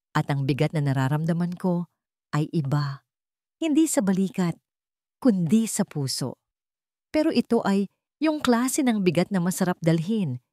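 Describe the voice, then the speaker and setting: Low-pitched woman narrating a dramatic story. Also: a little mellow, dry recording, clean studio sound.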